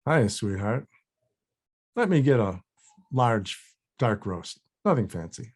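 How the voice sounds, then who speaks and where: A man's voice: lowish voice, big pitch swings, slow pace, slightly rough, heavily acted. A man playing a laid-back, folksy pal with a menacing side in a table read. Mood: folksy charm.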